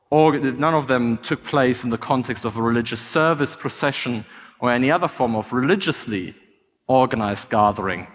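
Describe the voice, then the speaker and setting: A man's voice: medium pitch, a bit bright. German-accented man lecturing in a reverberant hall. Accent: German with a slight British tinge. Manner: measured, articulate, with frequent "uh" fillers. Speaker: conference speaker. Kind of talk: academic conference lecture. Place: conference hall.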